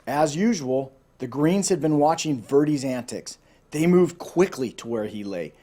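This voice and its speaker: A man with a somewhat high-pitched voice reads a children's storybook aloud. He tells it expressively and drops into informal asides as a storyteller would. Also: animated, measured pace, mellow. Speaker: storybook reader.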